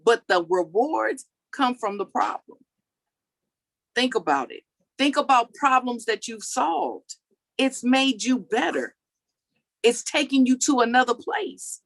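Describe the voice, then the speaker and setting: A woman's voice: on the high side, animated, slow, dry recording. A woman in her forties with a country accent that mixes Texas and Maryland, giving an emphatic motivational talk and often checking in with "okay" and "all right.". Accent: mix of Texas and Maryland country. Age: 40s. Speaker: motivational speaker.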